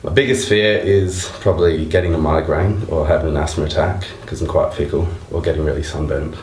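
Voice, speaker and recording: A man's voice: rumbling low, steady tone, fairly quick, a little mellow. A man of thirty-one giving a casual contestant interview. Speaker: contestant, civil engineer. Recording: echoey room.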